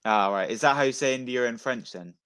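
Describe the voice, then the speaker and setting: A man's voice: mid-range voice, fairly quick, clean tone. Chatty young man from Wales. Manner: chatty, blurts out loud reactions to goals. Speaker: co-host of a football watchalong. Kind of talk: casual chat while watching a match.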